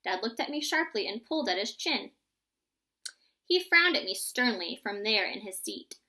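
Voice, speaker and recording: A woman reading a rhyming children's storybook aloud in an expressive style. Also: medium pitch, normal speed. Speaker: storybook reader.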